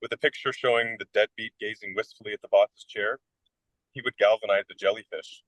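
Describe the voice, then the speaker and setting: A whimsical young American writer in a radio comedy, acted by a man. The voice is very bright and forward, recorded dry and close. He talks in wry, theatrical flourishes and happily pokes fun at himself. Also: on the low side, steady tone, fairly quick, no echo, low-quality recording. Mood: admiring, restless. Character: self-deprecating, whimsical American male writer of twenty-six. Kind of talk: radio play comedy dialogue.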